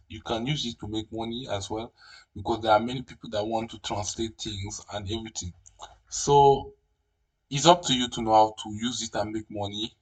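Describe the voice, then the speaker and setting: Tutorial host, male, French native speaker, hesitant and unhurried, slightly low pitch. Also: distant mic, poor audio. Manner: hesitant, many fillers and restarts. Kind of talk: casual screen-recorded tutorial.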